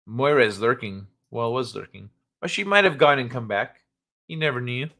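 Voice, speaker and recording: A man's voice: medium pitch, lively intonation, normal speed, gravelly, pushed and bright. A man hosting a live stream, chatting casually and rambling with jokes and swearing. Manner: rambling, joking, profane, laughs often. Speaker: livestream host. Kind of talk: casual live-chat talk.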